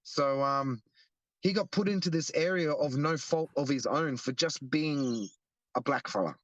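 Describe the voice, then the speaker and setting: A man's voice: a little high, a little slow, fairly bright tone, dry recording, rough recording. A young Australian man explaining earnestly in a casual interview, often restarting his sentences. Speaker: guest, activist.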